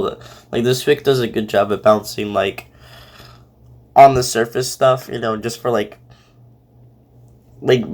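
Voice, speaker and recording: A man with a mid-pitched voice talks off the cuff as a reaction host. He rambles and halts, circling back over his words in a casual, conversational style. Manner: halting, rambling, heavily repeating words. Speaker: host reacting to a fan fiction audio reading. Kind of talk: casual, unscripted reaction commentary.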